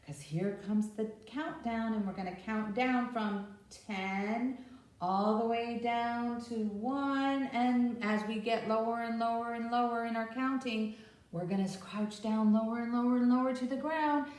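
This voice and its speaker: Female children's librarian, higher-pitched, simple instructional delivery for little kids, very echoey distant recording. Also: fairly even intonation, measured pace, darkish tone. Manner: simple, instructional, addressing young children directly. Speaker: children's librarian leading story time. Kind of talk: children's story time, explaining and leading action songs. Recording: echoey room, distant mic, faint noise.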